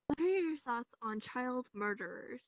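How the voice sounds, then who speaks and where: A woman's voice: high voice, animated, unhurried, dry recording. A young woman asking questions in a casual chat. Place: online voice chat in a virtual reality game.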